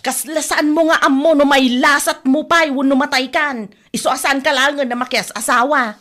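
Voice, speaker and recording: A woman's voice: sits high, fairly even intonation, moderate pace, fairly bright tone. A woman with an Ilocano accent playing a dramatic, complaining wife and new mother in a comedy radio drama.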